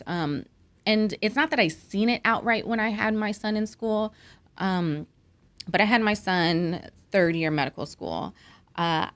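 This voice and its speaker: A woman with a notably low voice speaks as a guest doctor on a podcast. Her delivery is earnest and reflective, full of small pauses and fillers as she recounts her experiences. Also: a bit bright. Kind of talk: conversational interview.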